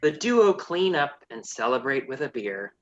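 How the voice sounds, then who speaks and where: A woman's voice: rumbling low, very animated, unhurried, muffled recording. A woman narrating a scripted comedy with wry sass. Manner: wry, sassy.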